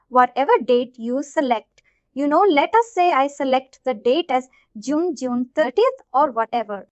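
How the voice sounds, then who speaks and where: A woman working as a software trainer, narrating an informal screen-share tutorial in an explanatory way, often checking in as she goes. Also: high voice, no echo. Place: voice-over of a screen recording. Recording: dull, lo-fi close dry audio.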